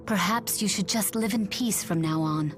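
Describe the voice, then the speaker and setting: An actress playing a calm, dignified great spirit, speaking formally and with composure in a video game drama. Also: female voice, mid-range voice, moderate pace, faint music behind, close to an everyday voice.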